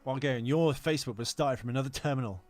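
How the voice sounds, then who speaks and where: A man's voice: mid-range voice, fast talker. A man hosting a casual film podcast, talking in a rambling, hesitant, joking way. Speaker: podcast host. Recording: music behind the voice.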